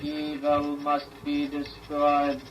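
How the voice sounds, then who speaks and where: A childlike robot, obedient and quietly menacing, with a grinding voice that stays utterly flat and moves very slowly. It is a vintage radio drama part on a dull, muffled recording. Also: male voice, fairly high pitch, a little hiss or hum. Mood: obedient, blank. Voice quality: grinding, mechanical monotone. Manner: slow, flat, childlike, literal. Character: childlike robot with a six-year-old mind, obedient, menacing. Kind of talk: old-time radio drama acting.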